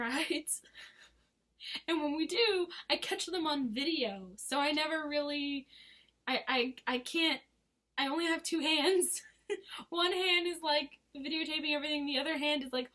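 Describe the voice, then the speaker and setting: A woman in her twenties, hoarse from losing her voice, chatting casually in a rambling, giggly vlog. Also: sits high, a bit bright. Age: 20s. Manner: rambling and giggly, full of fillers. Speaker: vlogger. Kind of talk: casual chat to camera.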